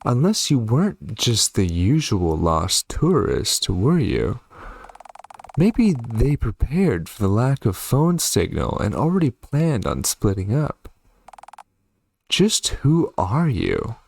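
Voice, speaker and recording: A man voicing a darkly polite, menacing witch villain in a horror game, measured and theatrical. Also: medium pitch, very animated, vocal fry, darkish tone, a little hiss or hum, full character voice. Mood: suspicious, coolly menacing. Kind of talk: reading game dialogue in character.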